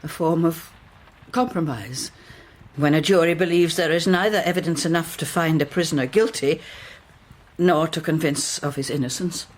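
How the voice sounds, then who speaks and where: A woman playing a stern, reproachful older Victorian mother in a radio drama, with a formal, measured manner. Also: sits low. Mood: grave. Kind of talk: period radio drama acting.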